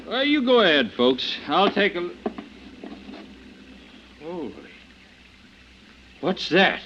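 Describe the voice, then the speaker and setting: An actor playing a dogged, plain-spoken, easily rattled police officer in an old radio drama, blunt and colloquial. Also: male voice, high voice, big pitch swings, takes it slowly, sharp tone, exaggerated voice. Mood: suspicious, insistent. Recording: muffled, hissy vintage radio recording.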